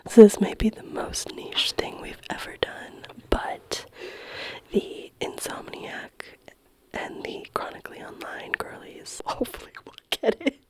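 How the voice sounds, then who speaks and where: Hushed woman whispering on a podcast. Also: on the high side, huge pitch swings, a little slow, low-quality recording. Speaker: podcast co-host. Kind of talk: ASMR-style whispered casual chat.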